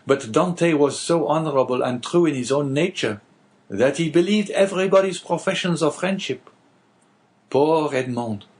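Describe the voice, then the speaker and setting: A man voicing a bitter, rough innkeeper in an audiobook, speaking in a brooding, earnest way. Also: fairly high pitch, moderate pace, darkish tone, voice pushed up, lightly acted. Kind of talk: audiobook character voice.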